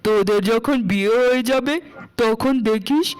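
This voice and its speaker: A man's voice: extremely high pitch, normal speed, clean tone, low-quality recording. A man playing a weary, devoted, plain-spoken village father in a children's cartoon. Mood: weary scolding.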